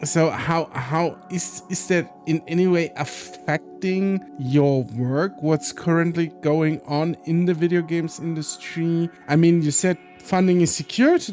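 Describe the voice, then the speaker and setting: A man's voice: a little high, a little slow, few pauses, a little mellow, faint music behind. A man hosting an interview, who asks curious, rambling questions full of fillers. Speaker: interview host. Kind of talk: casual interview conversation.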